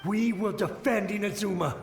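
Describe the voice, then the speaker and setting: A man playing a loyal soldier's ghost in an anime game, with a halting, dramatic delivery. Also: high-pitched, soft background music. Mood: desperate, warning. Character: desperate, loyal male soldier's ghost, fearful of monsters. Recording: echoey, faint background noise.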